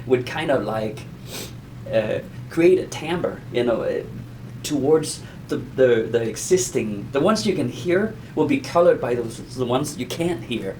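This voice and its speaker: A man giving an informal conversational lesson as an explainer, in a halting, rambling way with self-repetition. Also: medium pitch, lively intonation, a little mellow, slight background noise, rough recording. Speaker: audio explainer teaching beginners.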